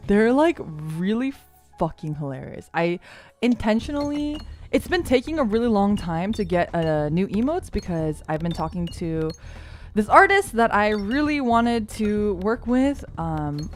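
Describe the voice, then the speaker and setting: A woman chats with her stream audience while she plays a game, rambling from one thought to the next, and she often breaks into giggles. Her speaking voice sits on the lower side and swoops around a lot in pitch. Faint game music plays behind her. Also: sing-song, measured pace. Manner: rambling, giggly, self-deprecating, lots of filler words. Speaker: VTuber streamer.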